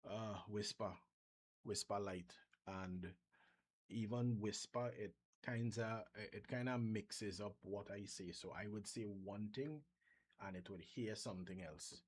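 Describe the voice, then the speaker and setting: A man from Trinidad speaks with his Trinidadian accent in a slightly low, breathy-edged voice. He talks casually through a software demo at his desk, thinking aloud and restarting his sentences as he goes. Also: takes it slowly. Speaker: software developer vlogger. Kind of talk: casual screen-share demo.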